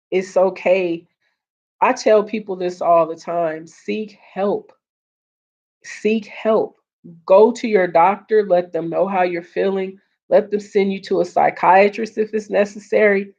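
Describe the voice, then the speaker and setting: A woman hosting a casual live stream, earnest and repeating her points, greeting viewers, her voice getting hoarse. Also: medium pitch, fairly even intonation, unhurried, a little mellow. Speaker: livestream host. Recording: dull lo-fi stream audio.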